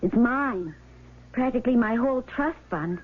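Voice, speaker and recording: An actress playing a domineering, calculating wife with a scornful, commanding way of talking, in 1970s radio drama style. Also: female voice, fairly high pitch, animated, moderate pace. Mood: fretful, bitter. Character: domineering, vengeful rich wife, sharp-tongued and calculating. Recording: narrowband, noisy old radio broadcast recording.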